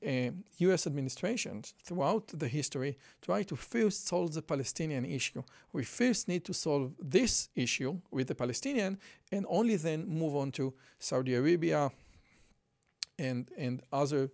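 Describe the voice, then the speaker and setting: Israeli man with a gravelly voice. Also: fairly high pitch, a little mellow, no room sound, studio quality. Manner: earnest, repeats points for emphasis. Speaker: podcast host. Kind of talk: commentary reading out a news article.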